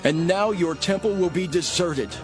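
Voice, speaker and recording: A man playing a solemn, earnest prophetic teacher in a dramatized audio Bible, speaking in a measured, dramatic way. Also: high-pitched, natural voice. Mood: sorrowful, impassioned. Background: music.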